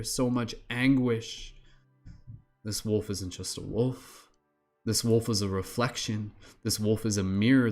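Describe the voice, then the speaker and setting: A man narrating a guided meditation in a calm, repetitive manner with long pauses. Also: on the low side.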